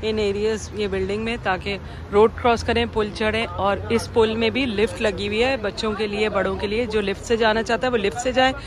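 A woman's voice: medium pitch, fairly even intonation, fairly quick, hardly any pauses. A woman chatting and explaining things to viewers in a casual vlog, recorded on a phone outdoors. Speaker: vlogger, host. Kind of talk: casual vlog narration and chat. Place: outdoor cafe terrace and street at night. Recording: loud crowd and street chatter behind.

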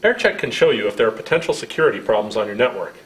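Lively American man presenting, roomy sound. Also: lowish voice, rapid-fire. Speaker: product presenter. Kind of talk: scripted product demonstration.

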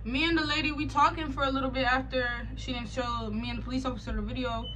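High-voiced young American woman telling a story. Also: fast, a little hiss or hum, low-quality recording. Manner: animated, slangy, sarcastic asides. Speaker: vlogger telling a personal story. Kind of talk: casual storytime chat.

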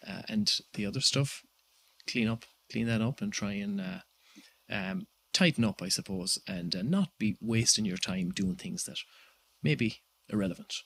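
A man in his thirties with an Irish accent, giving measured interview answers with many pauses and fillers. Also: lowish voice, vocal fry, fairly bright tone, husky, dry recording. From Ireland. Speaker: guest entrepreneur.

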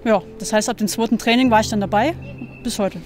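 A woman in her early forties who plays football, answering in a brisk, matter-of-fact way. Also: a little high, over music. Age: 40s. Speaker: amateur football player. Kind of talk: casual interview answers.

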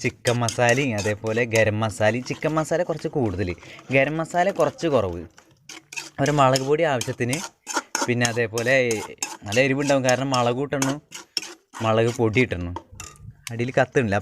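A man's voice: medium pitch, brisk. Man rambling through cooking, clattering noisy background. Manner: rambling, repeats phrases as he works. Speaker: home cook and vlogger. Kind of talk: casual cooking demonstration to viewers. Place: home cooking spot with wood fire. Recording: clattering pans and clicks, noisy self-shot recording.

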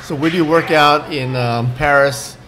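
A man's voice: fairly high pitch, moderate pace, fairly bright tone, low-quality recording. An older man hosting an interview at a restaurant table, asking questions in a friendly, prompting way. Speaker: interviewer, host. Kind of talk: casual interview. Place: small restaurant, indoors. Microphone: desk mic on stand.

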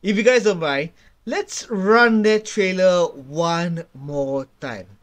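A young man giving casual reaction commentary, repeating himself and searching for words as he goes. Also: sits high. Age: young adult. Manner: halting, repetitive, searching for words. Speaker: reaction video host. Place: small home room, indoors. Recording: game trailer music playing behind.

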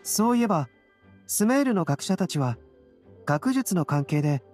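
A woman voicing a serene young male intellectual in an anime game, calm, reflective and polite. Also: fairly low pitch, normal speed, faint music behind. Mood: calm, curious. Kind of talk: Japanese anime game voice acting.

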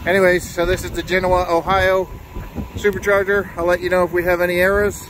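Middle-aged male vlogger, slightly high voice, easygoing and rambling, phone-camera sound with faint background noise. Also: level tone, brisk, low-quality recording. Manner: easygoing, rambling with fillers and restarts. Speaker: vlogger on a road trip. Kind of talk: casual vlog narration. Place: inside a car and outdoors at charging stations. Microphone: phone camera at arm's length.

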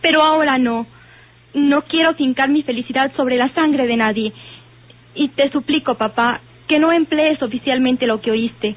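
An actress playing an earnest, firm young daughter in a radio drama, with a Mexican accent. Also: female voice, high voice, normal speed. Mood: firm, pleading. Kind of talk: vintage radio drama acting. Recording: tinny, narrow old radio recording.

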